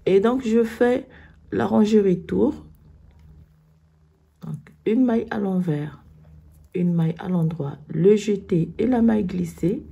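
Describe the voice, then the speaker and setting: A woman with a cold, hoarse, slowly explaining knitting stitches step by step in a tutorial. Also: mid-range voice, mellow. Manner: slow, step-by-step instructions.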